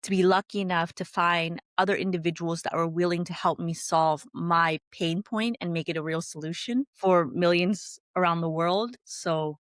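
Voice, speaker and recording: A woman from California, a company founder, chatting in a video-call interview and telling her story in a lively, animated way. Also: fairly low pitch, moderate pace, hardly any pauses, dry recording, clean studio sound.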